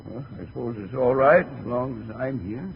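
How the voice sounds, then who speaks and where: An American man playing a mild, obliging, worried apartment manager in a 1950s radio drama, with a hesitant, creaky delivery. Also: mid-range voice, moderate pace, over loud music, natural voice. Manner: hesitant, rambling, concerned. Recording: noisy, dull old radio recording.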